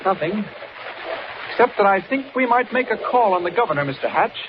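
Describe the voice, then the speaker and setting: A man's voice: high voice, expressive, a little fast. An actor playing a polite, guarded newspaperman, earnest and slightly hesitant, in 1940s radio style. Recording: very noisy, muffled old radio recording.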